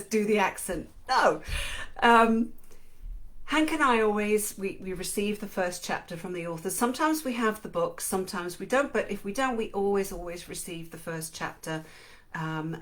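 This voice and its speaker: A woman's voice: mid-range voice, fairly bright tone. A middle-aged woman with an English accent, co-hosting a live-streamed book show in a chatty, self-deprecating way, often laughing. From England and Switzerland. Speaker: podcast co-host. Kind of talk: live-streamed casual chat.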